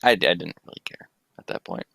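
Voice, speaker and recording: A young adult man chatting casually as a panelist, joking wryly with halting delivery. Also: sits low, huge pitch swings, gravelly, bright, forceful tone. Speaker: panelist on a livestream. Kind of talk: casual chat, riffing.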